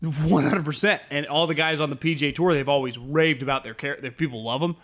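A man's voice: fairly high pitch, fairly quick, fairly bright tone. A young man, co-hosting a casual sports podcast and rambling through his points with lots of fillers. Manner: rambling, filler-heavy, enthusiastic. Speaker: podcast co-host.

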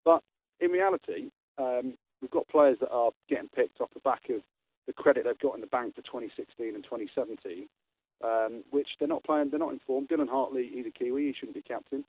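A man's voice: medium pitch, fairly quick. Blunt man on a phone line. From England. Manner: blunt, opinionated. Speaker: retired rugby player, guest pundit. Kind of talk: radio interview, call-in.

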